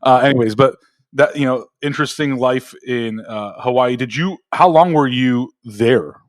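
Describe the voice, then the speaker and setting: A man's voice: medium pitch, normal speed, no echo, clean studio sound. An American man in his thirties hosting a podcast in a casual, chatty style. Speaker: podcast host. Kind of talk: casual chat and host-read ad.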